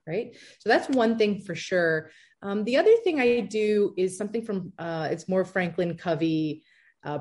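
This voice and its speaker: Chatty thirties businesswoman, a bit low. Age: 30s. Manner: chatty, lively, laughs easily, many fillers. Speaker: entrepreneur guest. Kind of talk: casual interview answers.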